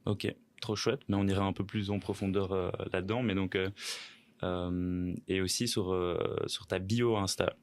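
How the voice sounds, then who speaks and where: A man's voice: sits low, steady tone, normal speed. A man hosting a podcast, hesitant, with many fillers and friendly interjections. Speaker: podcast host. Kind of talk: casual interview chat.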